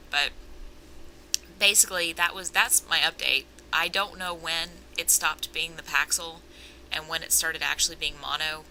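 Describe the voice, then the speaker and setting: Young woman vlogging w a sore throat, low voice but really bright and tense, rambles off on tangents. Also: slight background noise, low-quality recording. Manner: rambling, self-aware, trails off on tangents. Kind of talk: casual personal vlog.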